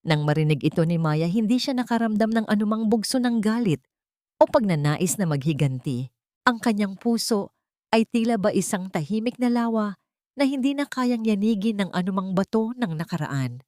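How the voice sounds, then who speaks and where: A woman storyteller reading a scripted dramatic story in a measured, solemn and sentimental way, phrase by phrase. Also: mid-range voice, expressive, darkish tone, no room sound, studio quality. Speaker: storyteller, narrator.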